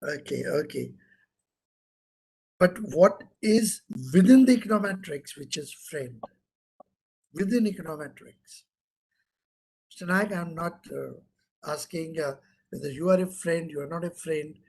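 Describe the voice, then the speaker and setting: Indian english prof on a video call, kinda husky, highish voice, keeps asking the class questions. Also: male voice, unhurried, long pauses, darkish tone. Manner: questioning, repeats key terms for emphasis. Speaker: professor lecturing online. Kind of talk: interactive online lecture.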